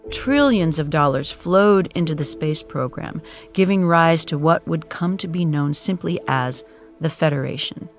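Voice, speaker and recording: A man reading scripted, dramatic narration as the narrator of an audio drama. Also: fairly high pitch.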